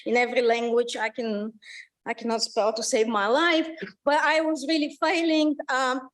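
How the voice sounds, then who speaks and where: A middle-aged woman with a Bulgarian accent gives a public lecture in a high voice. She talks in a loose, conversational way, full of fillers and animated asides. She is picked up from well off the microphone in a lecture room. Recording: voice from far off the mic.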